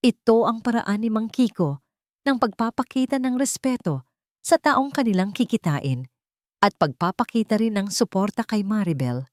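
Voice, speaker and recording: A woman's voice: mid-range voice, expressive, normal speed, darkish tone, no echo, studio quality. A woman reading a dramatic scripted story as an audiobook narrator.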